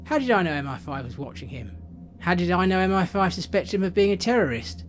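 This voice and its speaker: A blunt, irritated male spy chief played by a man in a radio drama. His voice is fairly high, with music clearly behind it. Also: animated, fast. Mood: angry, defensive.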